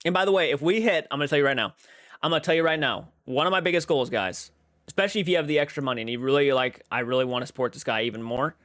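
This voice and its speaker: A young man in his twenties hosts a relaxed livestream, rambling as he reads out viewer comments and answers them. His voice sits a little high for a man. The sound is close and dry, with no room echo. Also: racing. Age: 20s. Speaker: livestream host. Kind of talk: casual livestream chat.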